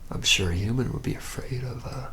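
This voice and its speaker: A man playing a reluctant, jaded young male vampire in a whispered ASMR roleplay, speaking haltingly with a wry, deadpan manner. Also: mid-range voice, a little hiss or hum, lightly acted. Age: young adult. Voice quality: breathy whisper with gravelly creak.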